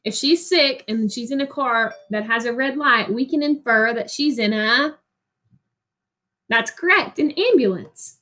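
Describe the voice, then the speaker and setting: A woman reading a picture book aloud like a teacher, expressively, with explanatory asides and pauses for young listeners to answer. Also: on the high side, pushed and bright.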